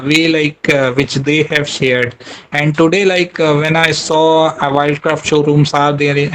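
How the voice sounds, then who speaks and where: A man's voice: on the high side, a bit bright, slight background noise, poor audio. A man giving a webinar talk, hesitant, with many fillers and restarts. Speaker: guest speaker.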